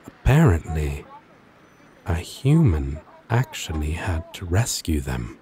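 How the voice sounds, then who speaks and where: Slow, low-voiced flirty demon played by a man. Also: sing-song, dark tone, slight background noise, exaggerated voice. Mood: sheepish, teasing. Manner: teasing, halting, dramatic delivery. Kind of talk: ASMR romance roleplay acting.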